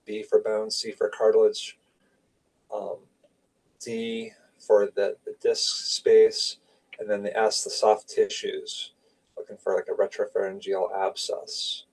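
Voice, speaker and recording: Low gravelly-voiced man lecturing. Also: flat delivery, slow, darkish tone, far from the mic, rough recording. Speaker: radiology lecturer. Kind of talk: informal medical lecture, explaining slides.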